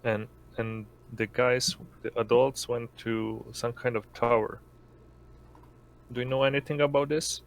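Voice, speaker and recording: Creaky-voiced man playing a tabletop game. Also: medium pitch, little pitch movement, measured pace, low-quality recording. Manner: hesitant, searching for words. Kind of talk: casual improvised role-play.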